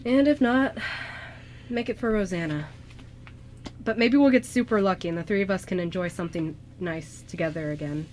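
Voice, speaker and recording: Radio play role: gentle ghost lad played by a woman, sincere and halting, lightly noisy recording. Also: fairly low pitch, lightly acted. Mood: hopeful, determined. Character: earnest young man, a ghost, gentle loyal buddy. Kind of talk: amateur radio drama script reading.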